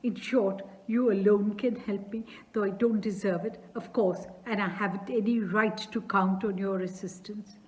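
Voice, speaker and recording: A woman's voice: medium pitch, animated, measured pace, natural voice, poor audio. A woman reading aloud as a nervous, flustered male landowner who stammers while asking for a daughter's hand.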